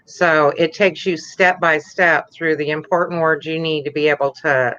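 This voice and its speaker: A woman's voice: low-pitched, steady tone, normal speed, a bit bright, muffled recording. A woman guest on a casual video chat, explaining things step by step with a few fillers.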